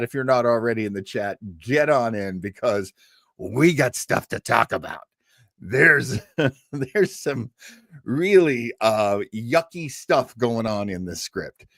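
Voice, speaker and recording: A man hosts a vintage radio show in a casual, theatrical way. His pitch moves around a lot, full of animated emphasis and joking asides, and the sound is close and dry. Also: dry recording. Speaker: show host. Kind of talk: casual live commentary introducing old radio.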